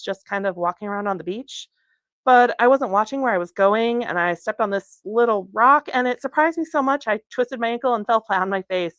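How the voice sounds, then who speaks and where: A woman's voice: a little high, fairly bright tone, no echo, dull audio, voice pushed up. A woman reading a novel aloud, voicing a poised teenage girl with an overly casual, self-mocking manner. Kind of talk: acted dialogue in a novel reading.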